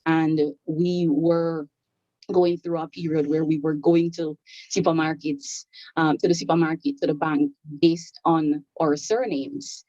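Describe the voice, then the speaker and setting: Low-voiced Jamaican woman, dull call audio. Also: little pitch movement, mellow, no room sound. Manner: measured, with frequent um fillers and restarts. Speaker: panelist. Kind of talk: academic panel talk.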